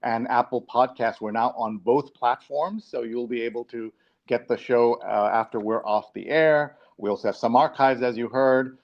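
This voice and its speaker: A man's voice: medium pitch, moderate pace. A man from New York hosting a live talk show in a casual, conversational presenting style. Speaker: show host. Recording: dull, lo-fi online stream audio.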